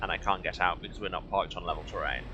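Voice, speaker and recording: A man's voice: deep voice, fast talker, background noise. A man giving casual, wry, sardonic live commentary as he streams a video game.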